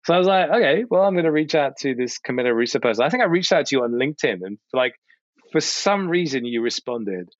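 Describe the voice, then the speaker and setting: A man's voice: medium pitch, expressive, fast, no room sound. Male podcast guest, British accent, casual anecdotal delivery with quoted dialogue, close dry sound, a touch lo-fi. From United Kingdom. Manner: informal, repeats phrases for emphasis, quotes imagined dialogue. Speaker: podcast guest, video producer. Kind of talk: casual chat, animated anecdotes.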